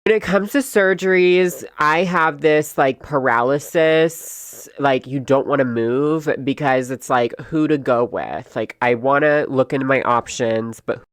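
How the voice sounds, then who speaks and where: Smooth dry-voiced man, earnest and reflective. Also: mid-range voice, unhurried, few pauses, no room sound. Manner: earnest, reflective, circles back with "right?". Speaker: creator in a clip being reacted to. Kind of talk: personal vlog talk.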